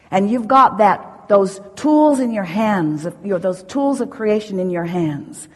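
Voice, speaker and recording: Long hall reverb surrounds a woman with a husky, breathy edge to her mid-pitched voice. She speaks as a spiritual teacher in an emphatic, deliberate style, building motivational points through repeated phrases. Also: darkish tone. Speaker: spiritual teacher answering audience questions.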